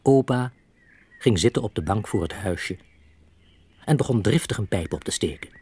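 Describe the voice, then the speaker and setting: A man narrating a scripted children's story as an expressive storyteller. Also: on the low side, normal speed, breathy edge.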